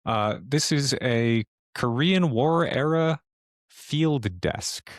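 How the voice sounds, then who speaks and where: A man narrating a tabletop horror game as game master, improvising in a halting way as he thinks aloud. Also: mid-range voice, dry recording, clean studio sound.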